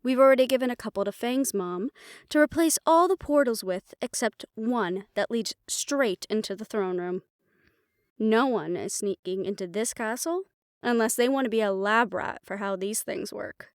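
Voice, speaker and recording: Woman acting a higher-voiced, scheming magic researcher. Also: moderate pace, smooth, no echo, studio quality, lightly acted. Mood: confident, brisk. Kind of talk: scripted fantasy audio roleplay acting.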